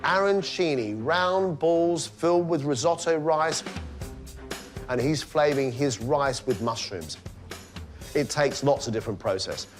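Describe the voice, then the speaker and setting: A man's voice: on the high side, measured pace, soft background music. A man working as a cooking competition judge, explaining the dishes with enthusiasm in a studio kitchen. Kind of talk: judging commentary.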